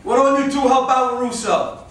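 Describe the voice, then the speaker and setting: A man's voice: very high voice, fairly even intonation, moderate pace, fairly bright tone. A man playing a commanding, official police special agent in a staged play reading. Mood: calm, official. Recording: very echoey room, distant mic, faint noise.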